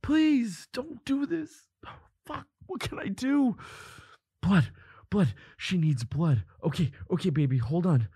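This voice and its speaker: A man playing a devoted, protective boyfriend in a dramatic audio roleplay, with an emotive, halting delivery. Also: sits high, animated, mellow, breathy edge, no echo, clean studio sound, heavily acted. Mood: frantic, pleading. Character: devoted boyfriend of a vampire, protective, frantic, defiant.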